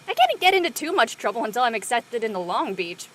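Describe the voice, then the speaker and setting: A woman playing a cheeky teenage high school girl in an audio play, with a playful, joking manner. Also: very animated, fast, a bit bright. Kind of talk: conversational acting in an online audio play.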